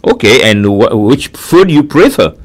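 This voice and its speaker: A man's voice: medium pitch, very animated, unhurried. A man hosting a conversational broadcast interview, repeating answers back and addressing viewers directly.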